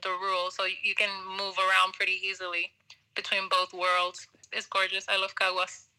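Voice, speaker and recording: Bright-voiced woman from Puerto Rico. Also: medium pitch, moderate pace, poor audio. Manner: relaxed, laughs often, talks with fillers. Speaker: musician guest. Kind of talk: casual interview conversation.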